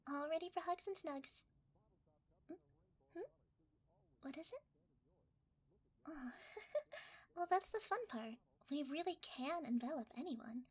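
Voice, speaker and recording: A woman's voice: sits high, a little slow, long pauses. A woman voicing a shy, cuddly shapeshifting creature in an ASMR roleplay, hesitant and easily flustered, often half-whispering. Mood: soft, playful.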